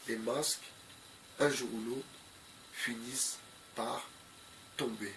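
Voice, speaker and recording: A man's voice: medium pitch, fairly even intonation, slow pace, a bit bright, a little hiss or hum. A man with a cold giving unscripted commentary to his viewers, hesitant and full of fillers, often repeating the same phrases. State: has a cold. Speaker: video commentator.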